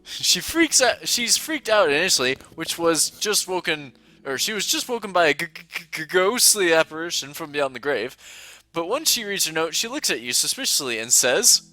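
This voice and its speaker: A man narrates a text adventure for a friend in a loose, joking playthrough, laughing as he goes. His voice is bright and forceful, and his pitch jumps around animatedly. Faint music plays behind him. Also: very animated, moderate pace, no echo. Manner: playful, laughs often while reading. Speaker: host reading a text adventure aloud.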